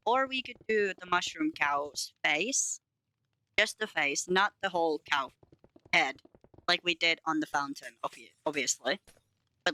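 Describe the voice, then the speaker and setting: A woman's voice: on the low side, bright, forceful tone, dry recording, low-quality recording. Chatty streamer woman, light Finnish accent. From Finland. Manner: chatty and rambling, giggles, self-teasing. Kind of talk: casual chat to live chat while building in a game.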